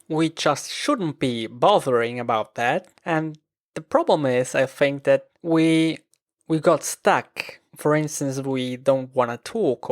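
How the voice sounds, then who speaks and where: Swiss German-accented male host, unhurried reflective solo talk, mid pitch. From Switzerland. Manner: slow, reflective, self-deprecating. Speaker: podcast host. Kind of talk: solo podcast monologue.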